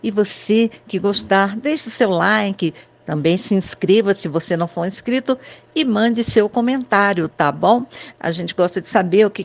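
A woman host casually addressing listeners in an introduction. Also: medium pitch. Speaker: radio drama channel host.

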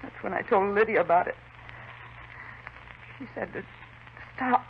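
An actress playing a distraught, unstable housewife, fretful and fixated, in a 1950s radio drama. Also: female voice, mid-range voice, a little slow, creaky. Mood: fretful, insistent. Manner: fretful, fixated, repeats herself. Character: distraught, mentally unstable housewife confessing to killing her husband. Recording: muffled, noisy 1950s radio recording.

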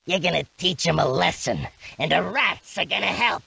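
A scrappy cartoon rat from a video game. The voice is raspy and bright with heavy fry, swinging widely in pitch as it brags with loyal, cocky cheer. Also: animated, normal speed, dry recording, heavily acted. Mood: confident. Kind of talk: exaggerated video game character lines.

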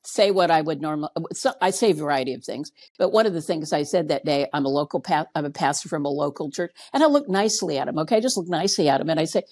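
A woman's voice: sits low, animated, fast talker, few pauses, darkish tone. A woman in her sixties, a pastor, telling testimony stories conversationally as an interview guest, with emphatic repetition. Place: indoor room on a video call.

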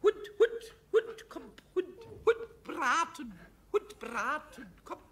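A man playing a mischievous, childlike kobold in a children's radio play, with a squawky, creaky voice, stammering, repeating himself and slipping into sing-song rhymes. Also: extremely high pitch, sing-song, takes it slowly, fairly bright tone, full character voice. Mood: urgent, coaxing. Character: mischievous, childlike little male kobold, tipsy and excitable.

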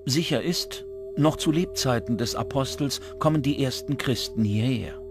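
Guy narrating a documentary, mid-pitched voice, music behind him. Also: normal speed. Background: background music.

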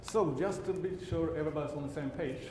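Swedish man giving a halting tech talk. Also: fairly high pitch. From Sweden. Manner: halting, self-correcting, dry asides. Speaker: conference speaker. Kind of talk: technical conference talk. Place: large conference hall. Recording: roomy hall sound with background hum.

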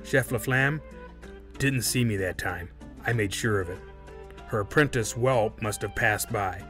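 Casting: a man as a contemptuous courtroom suspect in audio drama, formal, guarded, with a sharp edge. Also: fairly low pitch, measured pace, soft background music. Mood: resigned, bitter. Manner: formal, haughty, needling.

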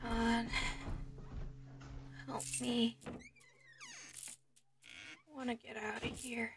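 A woman playing a frightened young captive in an ASMR roleplay, pleading haltingly and sometimes whispering. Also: on the high side, level tone, music in the background. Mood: despairing, pleading.

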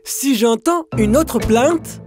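A woman's voice: on the high side, expressive, slow, over music. A woman voicing a patient but firm young female hairdresser in a French cartoon dub.

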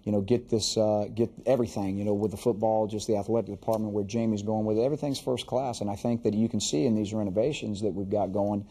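A man's voice: fairly low pitch, steady tone, fast talker, hardly any pauses, warm tone, husky. A man, a coach, talking in a broadcast studio conversation in a rambling way full of fillers.

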